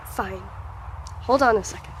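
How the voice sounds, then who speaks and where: Wary archivist, played by a woman, high-pitched, questioning. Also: a bit bright, slight background noise, poor audio. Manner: wary, questioning, easily startled. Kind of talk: audio drama acting.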